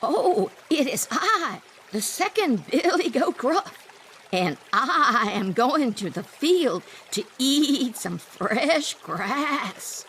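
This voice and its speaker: A bright, high, sing-song goat, timid but quick-witted, gliding up and down as she stretches her words out very slowly for a storybook tale. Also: sing-song, slight background noise, heavily acted. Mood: sweet.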